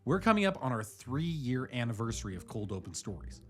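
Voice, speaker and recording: Man, podcast-style host, scripted outro narration, lively pitch movement, music behind, addressing listeners. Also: a little fast. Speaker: host, writer and director. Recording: music under the voice.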